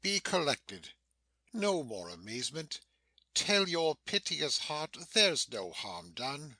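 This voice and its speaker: A man playing a commanding, protective older sorcerer father, reading verse drama in a measured, solemn way. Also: on the high side, big pitch swings, pushed and bright, no echo, full character voice. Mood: solemn, reassuring.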